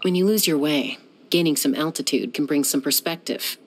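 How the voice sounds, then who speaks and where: A cocky, husky young gunslinger. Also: female voice, sits low, moderate pace. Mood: cool. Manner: cool, self-assured, dryly teasing. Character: confident young woman peacemaker gunslinger who flies. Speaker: game character. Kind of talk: video game voice lines.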